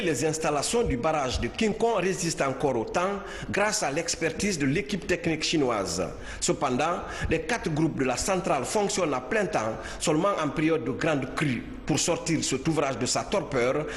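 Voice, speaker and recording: A man reading a news report voice-over in a measured, formal way. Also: a little high, animated. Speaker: field reporter. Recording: echoey, roomy reverb with faint noise.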